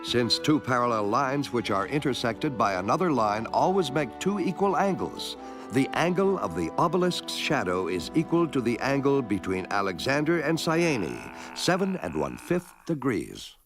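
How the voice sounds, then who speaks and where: A man giving a measured, scripted narration for an educational film, explaining things clearly step by step. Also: medium pitch, very animated, music in the background. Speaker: documentary narrator.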